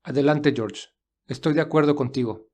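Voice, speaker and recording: A man playing an earnest, defensive young gentleman in love in an audio drama, speaking in a measured way. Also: fairly high pitch, lightly acted. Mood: resolute.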